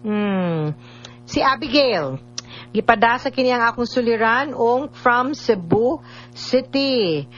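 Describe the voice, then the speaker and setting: A woman's voice: a little high, lively intonation, slow, long pauses, lo-fi sound, soft background music. A woman with a Cebuano Filipino accent chatting playfully as host of a radio advice show. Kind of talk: conversational radio hosting.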